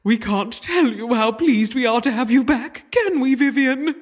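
An actress playing an imperious, easily exasperated queen with a husky voice and regal, wordy manner in a comic audio drama. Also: a little high, expressive, moderate pace. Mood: regal, brisk.

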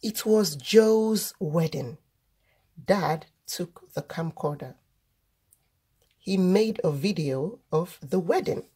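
A woman reading a children's storybook aloud, deliberately, word by word, as the host of a read-aloud. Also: lowish voice, expressive, mellow, breathy edge. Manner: deliberate, word-by-word delivery for early readers.

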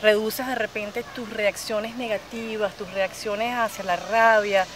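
A woman's voice: mid-range voice, steady tone, measured pace. A woman in her thirties from Chile with a Venezuelan Spanish accent, a yoga instructor talking animatedly with her hands and many restarts in an outdoor interview. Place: outdoors by a park lake. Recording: outdoor noise behind the voice.

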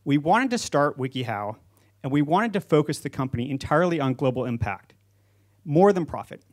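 A man in his forties speaks in a fairly high voice, presenting a prepared talk to an audience. He is a company founder on an auditorium stage, using a handheld microphone. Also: big pitch swings, fairly quick. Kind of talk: prepared conference speech to an audience.

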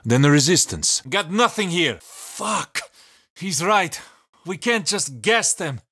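Zombie-game survivor, tense and cynical, high voice, swinging pitch, terse urgent delivery. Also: male voice, sing-song, slow, bright, forceful tone, slightly rough, heavily acted. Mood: grim. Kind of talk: video game voice lines.

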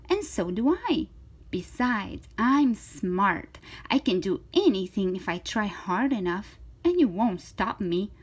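Storybook role: bold little girl, played by a woman, eager and defiant, high lively pitch with a slightly husky edge. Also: full character voice. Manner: emphatic, eager, boastful. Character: spirited, confident, defiant little girl with big dreams. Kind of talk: children's storybook character voice.

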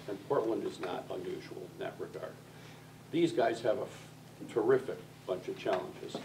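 Male, sixties, American, creaky low voice, rhetorical town-hall speaker, distant noisy recording. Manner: deliberate, rhetorical, addresses listeners directly. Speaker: grandparent speaking from the audience. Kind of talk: public comment speech. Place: school library, public meeting. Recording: distant mic, noisy room.

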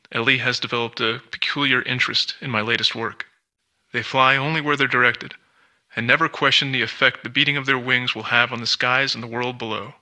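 An actor playing a guarded, paranoid scientist in a video game, speaking in formal, grave, reflective monologue. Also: male voice, mid-range voice, sharp tone.

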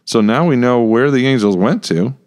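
A middle-aged American man co-hosting a casual podcast, chatty, halting and repeating words as he goes. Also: medium pitch, expressive, darkish tone. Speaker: podcast co-host, church pastor. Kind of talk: casual Bible discussion podcast. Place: small indoor room with acoustic panels. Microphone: close podcast microphone with headphones.

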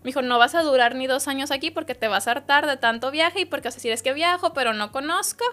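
Woman guest, slightly high voice, lively anecdote-teller. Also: quick pace. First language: Spanish. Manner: lively storytelling, quotes others' words. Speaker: interview guest. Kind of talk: casual interview chat.

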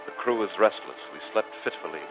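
An actor playing an earnest, formal professor who narrates a sea adventure in old-time radio drama style. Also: male voice, lowish voice, animated, quick pace, natural voice. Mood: grave narrating. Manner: formal, theatrical, dramatic delivery. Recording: muffled old radio recording, music underneath.

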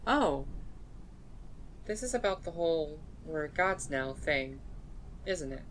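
A woman acts out a chatty young woman scientist, part dog, in a fan dub drama. She is blunt and casual, with a bright, lively swing to her speech, over faint background noise. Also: low-pitched, slow, heavily acted. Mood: thoughtful. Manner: casual, animated, exasperated at hesitation.

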